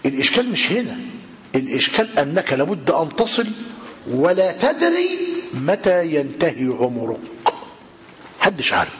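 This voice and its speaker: An older man with an Egyptian Arabic accent, giving a religious lesson in a hall and questioning his listeners, slowing down when he quotes classical texts. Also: fairly high pitch. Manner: lively rhetorical questioning of the audience. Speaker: preacher. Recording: reverberant hall with faint noise.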